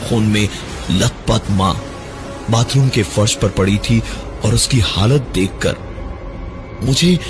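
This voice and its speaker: A man narrating a scripted first-person horror story in a dramatic, halting, suspenseful manner. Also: on the low side, music in the background. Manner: dramatic, halting delivery with suspenseful breaks and repeated words.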